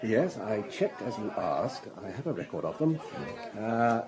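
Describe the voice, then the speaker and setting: An actor playing a formal, obliging luxury hotel receptionist in a radio drama, polite and eager. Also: male voice, on the low side, big pitch swings, unhurried, a crowd in the background.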